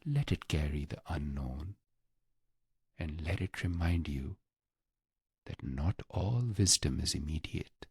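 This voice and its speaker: Very deep, husky, slow male meditation guide. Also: no echo, clean studio sound. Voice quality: low, breathy, husky voice with creak. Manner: hushed, unhurried delivery in short phrases with long pauses.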